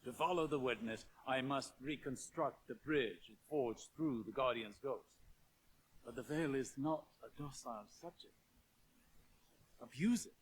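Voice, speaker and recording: A man's voice: medium pitch, slightly rough, rough recording. A man playing a learned, cautious scholar in a video game, with a grave, theatrical delivery and weighty emphasis. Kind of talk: dramatic game cutscene dialogue.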